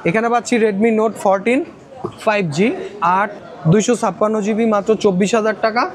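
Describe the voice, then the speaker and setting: A man with a Bangladeshi accent pitching phones to viewers in a shop, rattling off models and prices and repeating them. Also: fairly even intonation, moderate pace. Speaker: phone shop seller presenting stock and prices. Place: electronics shop in a busy shopping complex. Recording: busy shopping mall crowd behind voice. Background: crowd chatter.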